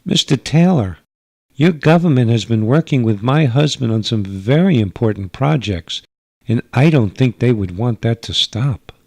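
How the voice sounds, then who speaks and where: A man's voice: mid-range voice, lively intonation, normal speed, creaky, a little mellow, dry recording. A man voicing a courteous, worried alien mother who speaks formally and pleadingly in a radio sci-fi story. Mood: earnest. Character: polite, worried alien mother with four arms.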